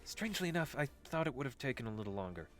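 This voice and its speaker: A man's voice: medium pitch, sing-song, racing, a bit bright, faint music behind. An actor playing a wry, exasperated young man in an audio drama, with a theatrical, sarcastic delivery. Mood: alarmed, sarcastic.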